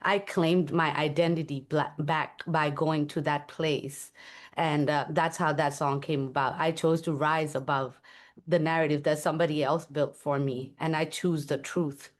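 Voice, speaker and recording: Woman, low voice, raised in Thailand; earnest, reflective guest. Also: steady tone, a little slow. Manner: earnest, reflective, with soft laughs. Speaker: guest, musician and advocate. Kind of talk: conversational interview.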